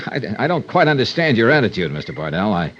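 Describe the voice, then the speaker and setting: An actor playing a confident, wry American insurance investigator in a 1950s radio detective drama, smooth and persistent. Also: male voice, lowish voice, big pitch swings, a little fast, a bit bright, slight background noise. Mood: casual, needling. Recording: dull old radio recording.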